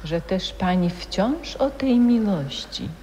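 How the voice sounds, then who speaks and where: Slow, drawling, world-weary woman. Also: medium pitch, expressive, mellow, slight background noise. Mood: dismissive. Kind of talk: theatrical 1960s radio drama acting. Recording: muffled, reverberant 1960s radio play.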